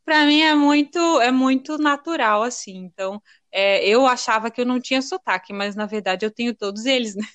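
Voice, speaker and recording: A woman's voice: fairly high pitch, brisk, hardly any pauses, dry recording. Brazilian woman guest, mixed northeastern accent. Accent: mix of Potiguar and Paraná Portuguese. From Rio Grande do Norte, Brazil. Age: 30s. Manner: storytelling, animated, laughing. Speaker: podcast guest. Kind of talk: casual chat.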